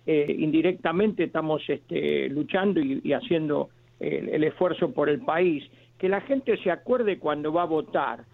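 Un oyente que llama a la radio, con voz de hombre algo aguda. Se traba, empieza de nuevo una y otra vez y habla con sinceridad. Su voz suena metálica y estrecha, a través de una línea telefónica. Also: male voice, a little high, vocal fry. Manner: halting, many restarts, earnest. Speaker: caller. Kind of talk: phone-in call. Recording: tinny phone line.

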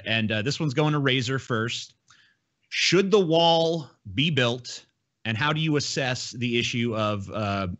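A man's voice: on the low side, fairly bright tone. A man speaking informally, with some swearing, as the moderator of a casual live debate. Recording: dull lo-fi audio.

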